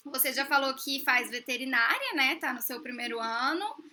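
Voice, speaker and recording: Young chatty woman host, high and very bright voice. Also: moderate pace. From Brazil. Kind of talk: casual chat.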